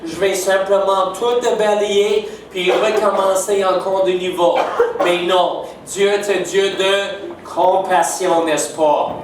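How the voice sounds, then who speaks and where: A man's voice: high voice, steady tone, slow. Older anglo canadian guy preaching in french, keeps going "n'est-ce pas?", really echoey hall, faint hiss. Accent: English Canadian. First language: English. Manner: warm, emphatic, keeps asking "n'est-ce pas?". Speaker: guest preacher. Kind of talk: sermon. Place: church sanctuary. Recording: echoey church hall from far off.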